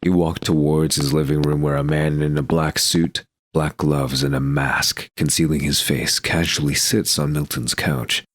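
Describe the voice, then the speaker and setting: A man's voice: a bass voice, little pitch movement, measured pace, few pauses, slight background noise. A man reading scripted narration for an audio drama, describing the action as narrator.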